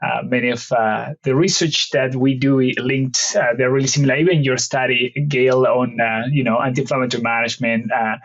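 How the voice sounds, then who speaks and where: A man's voice: medium pitch, steady tone, a little fast, hardly any pauses. A man from Argentina in his late thirties, a native Spanish speaker with an Argentine accent, answering interview questions in a hesitant, conversational way with many fillers and restarts. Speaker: veterinary research professor, podcast guest. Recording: slightly dull lo-fi audio.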